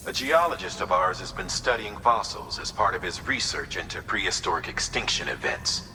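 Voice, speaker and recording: Deep-voiced commander in reverberant noise. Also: male voice, lively intonation, moderate pace. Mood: grave, concerned. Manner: authoritative, matter-of-fact briefing. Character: grave male operations commander briefing his courier. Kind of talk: video game cutscene acting, mission briefing. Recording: long hall reverb, noisy ambience behind.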